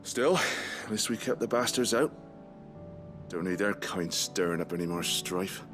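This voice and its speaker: An actor playing a rough, indignant mercenary gate guard, blunt and coarse, in a video game cutscene. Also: male voice, low voice, normal speed, music in the background, close to an everyday voice. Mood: indignant, gruff.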